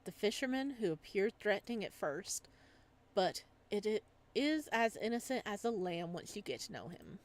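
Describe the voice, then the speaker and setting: A woman's voice: mid-range voice, big pitch swings, moderate pace. A woman hosting a casual visual novel playthrough, chatting and reading aloud. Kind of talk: casual playthrough chat and read-aloud narration.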